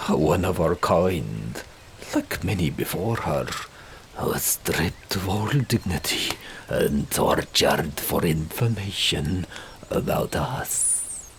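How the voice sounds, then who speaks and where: A man voicing a vengeful male king of monstrous woodland beasts in an audiobook, speaking in a slow, menacing whisper. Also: very deep voice, a little hiss or hum, exaggerated voice. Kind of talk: audiobook character voice.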